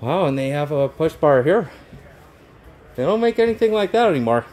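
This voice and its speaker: A Canadian man filming a casual tour of buses on display, excited and halting, rattling off model details with restarts. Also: on the high side. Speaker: transit vlogger. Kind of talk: casual touring vlog. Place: bus display in a convention centre, indoors.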